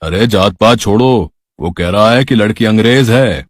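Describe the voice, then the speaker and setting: A man voicing a good-humoured middle-aged Indian husband and father in a cartoon story, husky, coaxing and wry. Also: fairly low pitch, moderate pace, slight background noise, lightly acted.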